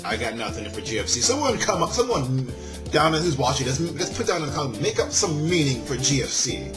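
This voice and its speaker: A man with a very lively, swinging delivery hosts a let's play of a visual novel, with music clearly playing behind him. His pitch sits in an average male range. He jokes with viewers and trips over the odd word as he goes. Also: a little fast. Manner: jokey, stumbles while reading and addresses viewers. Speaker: gaming video host.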